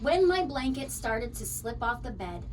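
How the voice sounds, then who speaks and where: High-pitched, animated woman reading a storybook. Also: moderate pace, recorded from a distance, noisy background. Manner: animated, dramatic. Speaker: storybook reader. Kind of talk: expressive children's storybook narration.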